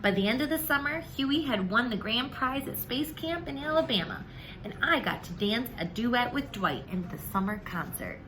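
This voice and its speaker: A woman reading a children's story aloud in an expressive way, acting out the dialogue. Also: on the high side, moderate pace, noisy background. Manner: animated, acts out the dialogue. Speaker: teacher reading a picture book aloud.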